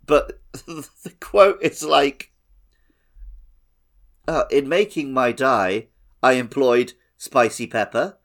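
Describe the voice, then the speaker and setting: A man co-hosting a video game podcast, talking in a casual conversational style. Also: medium pitch. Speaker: podcast co-host.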